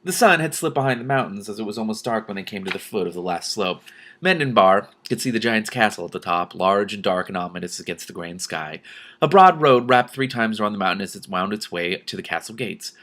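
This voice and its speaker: A man's voice: lowish voice, expressive, brisk, a bit bright. A man reading a novel aloud expressively as host, dropping in casual commentary of his own.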